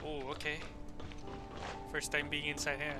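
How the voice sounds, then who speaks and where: A man's voice: fairly high pitch, monotone, moderate pace. A man whose first language is not English, giving chatty, self-deprecating commentary on a video game he is playing. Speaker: gamer. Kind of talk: casual let's-play commentary. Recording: loud game music behind.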